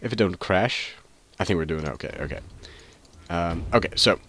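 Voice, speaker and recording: Congested, nasal deep-voiced man. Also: moderate pace, a bit bright. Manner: rambling, full of ums and restarts. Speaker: gaming channel host. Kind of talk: casual gameplay commentary.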